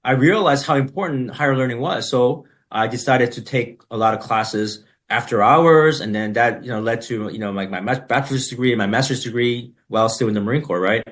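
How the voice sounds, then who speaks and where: A middle-aged man, a podcast guest, telling his story in relaxed conversation, with many fillers and "right?" tags. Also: medium pitch, brisk, hardly any pauses.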